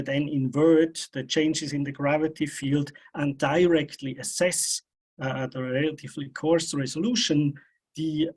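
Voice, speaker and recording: Higher-pitched man presenting research. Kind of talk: academic seminar talk with slides.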